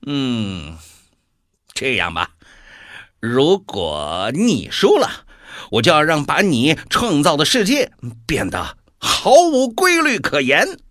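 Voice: mid-range voice, wildly swooping pitch, takes it slowly, fairly bright tone, no room sound, clean studio sound, exaggerated voice. Taunting, wildly swooping devil. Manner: taunting, theatrical, swooping delivery with laughs. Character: mocking devil gleefully wagering on a world's fate. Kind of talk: radio drama acting.

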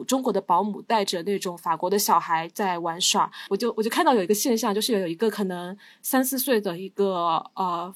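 A woman speaking as a guest in a casual chat, telling everyday anecdotes and given to easy laughter. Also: medium pitch. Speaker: podcast guest.

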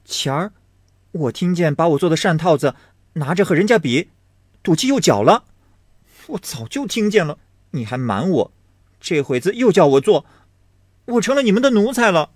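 A man voicing a lively, outspoken teenage girl in an audiobook reading of a classic novel, quick and teasing in a raised voice. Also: no room sound, studio quality. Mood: teasing, indignant. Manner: quick, teasing, pitched high.

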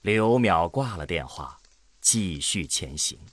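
A man's voice: low-pitched, expressive, slow pace, fairly bright tone, no room sound. A man giving dramatic scripted narration for a science-fiction audio drama.

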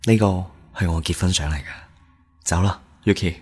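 Man acting low-voiced, devoted young groom. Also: moderate pace, very noisy, lightly acted. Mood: insistent. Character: devoted, lovelorn young groom, earnest and gentle. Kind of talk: Cantonese radio drama acting. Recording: music under the voice.

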